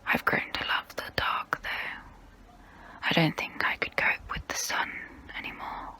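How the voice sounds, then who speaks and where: A woman playing a guilty, melancholy centuries-old vampire in an ASMR role play, whispering in a slow, hesitant, wistful way with formal phrasing. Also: sharp tone. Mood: musing, quiet. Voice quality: breathy whisper.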